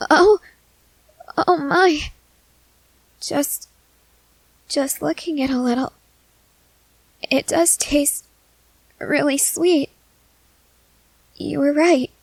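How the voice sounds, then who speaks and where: A woman playing a timid, gentle newly turned vampire in an ASMR roleplay, speaking hesitantly and haltingly. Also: high voice, gravelly, no room sound, heavily acted, low-quality recording. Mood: awed, tentative. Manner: hesitant, halting, soft-hearted.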